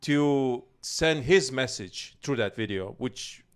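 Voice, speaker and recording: Halting man co-hosting. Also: medium pitch, animated, clear, resonant tone. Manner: halting, repeats phrases. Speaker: co-host of a video channel. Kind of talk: casual discussion.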